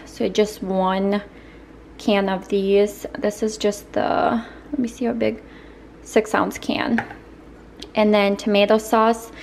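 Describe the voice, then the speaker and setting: A woman's voice: medium pitch, a little hiss or hum. Young woman casually talking through a recipe. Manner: relaxed, with fillers like um and like. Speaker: lifestyle vlogger, stay-at-home mom. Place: home kitchen, indoors.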